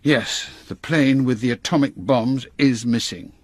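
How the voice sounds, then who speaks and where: Guy playing older british spy boss, english accent, clipped and bossy, bit raspy, very close dry radio play sound. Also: medium pitch, unhurried, dry recording, natural voice. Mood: grave, brisk. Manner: clipped, commanding. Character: brisk, authoritative older male British intelligence chief. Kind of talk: radio drama acting.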